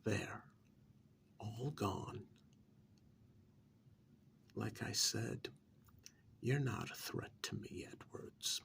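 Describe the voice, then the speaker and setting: A man playing a menacing, soft-spoken ex-military club boss in an ASMR role-play, talking in a breathy half-whisper with deliberate pauses. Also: on the low side, heavily acted. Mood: calm menace. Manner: slow, deliberate, quietly menacing with drawn-out pauses.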